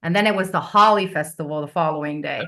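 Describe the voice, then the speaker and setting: A woman's voice: low-pitched, fast talker, a bit bright. A woman who grew up in Venezuela, a business coach chatting as a guest on a video call, laughing often and repeating words as she starts. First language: Finnish. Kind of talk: casual interview chat.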